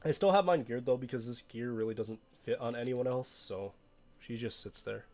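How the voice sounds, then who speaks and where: A young man, a little hoarse from being sick, talking casually through his game roster with frequent fillers and restarts. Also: mid-range voice, normal speed, a little mellow. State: hoarse from illness. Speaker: game streamer.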